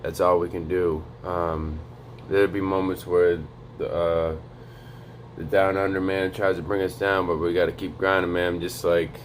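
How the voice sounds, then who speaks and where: Young male vlogger, deep and flat, quiet casual street talk, slight background hum. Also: normal speed. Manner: low, quiet monotone to avoid waking a neighbor. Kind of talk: motivational vlog talk. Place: home in North Dakota.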